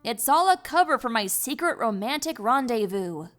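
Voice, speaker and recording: A woman's voice: fairly high pitch, very animated, moderate pace, faint music behind. A woman voicing a bookish young pony princess in a fan fiction audio drama, awkward and earnest, with a wry, flustered delivery. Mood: sarcastic.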